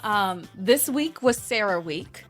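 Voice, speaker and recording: A woman's voice: medium pitch, animated, slow pace, soft background music. A woman podcaster talking casually and bluntly, with strong opinions and swearing.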